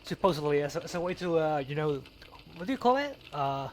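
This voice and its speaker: A man co-hosting a casual chat, talking haltingly and often repeating words as he goes. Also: fairly high pitch, animated, slight background noise. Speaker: podcast co-host. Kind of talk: casual chat about video games.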